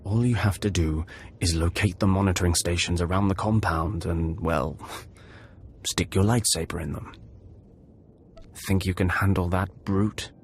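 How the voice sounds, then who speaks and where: A man's voice: low voice, fairly even intonation, unhurried, a little hiss or hum. A man playing a disdainful undercover Sith operative in a video game, sneering and sarcastic as he briefs. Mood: condescending. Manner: sneering, condescending. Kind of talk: video game cutscene acting.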